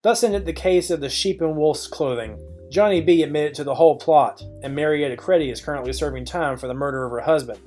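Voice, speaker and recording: A man playing a clever private detective in a noir audio drama, confident, wry and deliberate. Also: on the high side, a little mellow, faint music behind, lightly acted. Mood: narrating.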